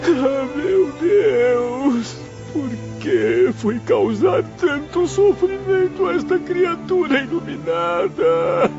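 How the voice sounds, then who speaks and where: A man's voice: very high-pitched, very animated, takes it slowly, vocal fry, exaggerated voice. A man playing a grieving spirit, a former professor longing for his mother, speaking in an anguished, pleading way in a dramatized audiobook. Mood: anguished prayer. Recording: dull lo-fi audio with music behind.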